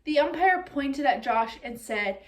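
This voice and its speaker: High-voiced woman reading aloud to children. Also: measured pace. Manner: expressive, addressing young listeners directly. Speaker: teacher reading a picture book aloud. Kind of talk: read-aloud storytelling with comprehension questions.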